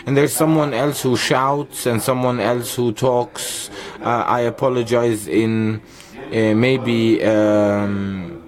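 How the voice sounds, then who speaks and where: A man with an Egyptian accent interpreting a conference panel into English simultaneously, halting often and correcting himself mid-phrase. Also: mid-range voice, darkish tone. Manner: halting, many pauses and self-corrections while keeping up. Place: conference hall interpreting booth.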